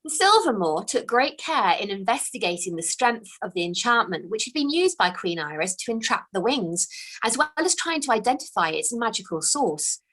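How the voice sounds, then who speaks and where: A woman author reading her own fantasy storybook aloud, in a slow, expressive, hushed storytelling manner. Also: mid-range voice. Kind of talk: storybook read-aloud narration.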